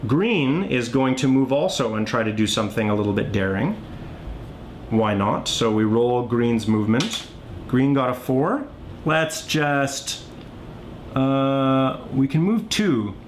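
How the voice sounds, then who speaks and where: A man's voice: lively intonation, slow. A man giving a casual, unscripted board game tutorial, thinking aloud as he demonstrates the moves. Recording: clearly noisy, low rumble.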